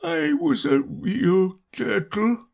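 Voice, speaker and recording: A man voicing a mournful, touchy old male sea turtle in a storybook, with a slow, drawn-out, tearful and self-pitying delivery. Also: very high-pitched, big pitch swings, creaky, darkish tone, pitched above the performer's own voice, heavily acted. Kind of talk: storybook character voice.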